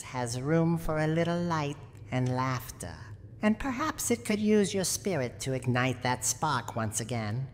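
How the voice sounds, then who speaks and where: Gruff old granny of a haunted house, teasing, lively intonation, long reverb over noticeable noise. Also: female voice, a bass voice, full character voice. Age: older. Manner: menacing yet secretly tender, theatrical. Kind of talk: scripted roleplay ASMR monologue.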